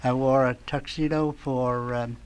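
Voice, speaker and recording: A man's voice: mid-range voice, steady tone, measured pace, smooth. Brief: mature male radio veteran, mid-range voice, nostalgic studio reminiscence, emphatic storyteller. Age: middle-aged or older. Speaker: veteran radio sound effects man, guest.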